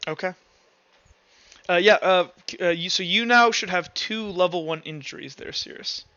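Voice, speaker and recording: High-voiced young-sounding man, bright but muffled. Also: dry recording. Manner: halting, rambling, heavy use of "like". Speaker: game master. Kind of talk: casual, improvised tabletop game narration.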